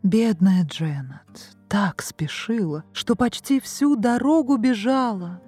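Woman, fairy-tale narrator, soft dark tone, expressive, measured, background music. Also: medium pitch, breathy edge. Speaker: storyteller, voice artist. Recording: music behind the voice.

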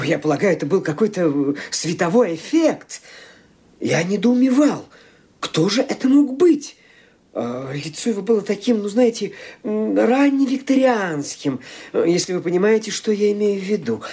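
A man's voice: sits high, darkish tone, husky, exaggerated voice. An actor playing a genteel nephew in a radio drama, hemming and hesitating as he talks. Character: genteel nephew, hesitant, explaining things away.

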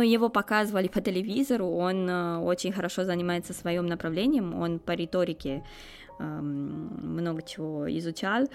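A woman's voice: lowish voice, darkish tone. A woman in her twenties with a Romanian accent answers interview questions conversationally, hesitantly searching for words as a non-native speaker. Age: 20s. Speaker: interview guest, foreign student.

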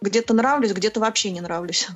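A woman speaks as the guest in an interview, with a mid-pitched voice and a slightly muffled recording. She talks expressively and conversationally, explaining things through examples and repeating words for emphasis. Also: a little fast, no room sound, soft background music. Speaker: interview guest, actress and film producer.

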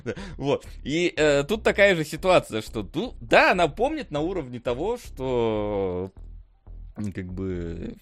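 A man's voice: medium pitch, big pitch swings, a little slow, faint music behind. A man co-hosting a casual film discussion podcast, rambling with many fillers and restarts and laughing often. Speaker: podcast co-host.

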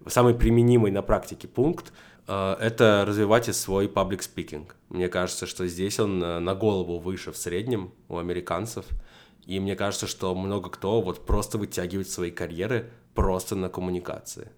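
Deep-voiced young man explaining at length. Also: fairly even intonation, moderate pace, darkish tone. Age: young adult. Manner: structured, explanatory, many fillers. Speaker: podcast guest. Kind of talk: casual conversation.

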